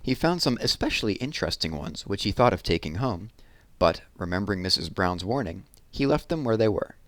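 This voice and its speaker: A man reading a children's picture book aloud in a gentle, expressive storytelling manner. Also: on the low side, brisk.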